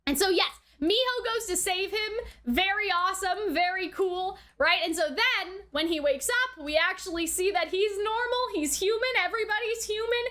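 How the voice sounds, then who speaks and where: Female reaction host, high and bright voice, chatty and informal, thinking aloud in casual review commentary. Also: lively intonation, normal speed, hardly any pauses. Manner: chatty, informal, often ending phrases with tag questions.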